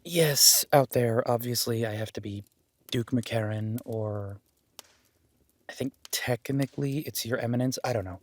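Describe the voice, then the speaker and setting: A man's voice: medium pitch, measured pace, a little mellow, dry recording, lightly acted. Man acting flustered, kindly werewolf duke, dry close recording. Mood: uneasy, modest. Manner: flustered, stammering, apologetic, informal. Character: awkward, kindly young werewolf duke, male, self-deprecating soldier. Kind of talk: roleplay audio drama, addressing the listener.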